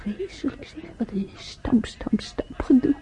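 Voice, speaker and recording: High, chirpy young nurse. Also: female voice, lively intonation, a little slow, dark tone, in a whisper. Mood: chatty, attentive. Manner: solicitous, chirpy. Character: kindly, chatty young female hospital nurse. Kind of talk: radio drama, casual dialogue. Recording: muffled radio recording. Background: music playing.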